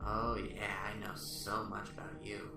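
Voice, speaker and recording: A man playing a calm, mocking male serial killer under interrogation, in a roomy recording set back from the mic with music beneath. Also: on the low side, measured pace, gravelly, recorded from a distance. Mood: smug, mocking. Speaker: arrested suspect under interrogation. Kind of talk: amateur radio drama acting.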